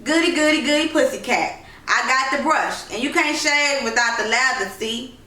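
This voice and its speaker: A woman's voice: sits high, normal speed, sharp tone, recorded from a distance, voice pushed up. A woman voicing a boastful, teasing young boy with a rural Southern accent in a storybook reading. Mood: taunting. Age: child. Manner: boastful, taunting, dialect-heavy. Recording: roomy echo, noisy, off-mic home recording.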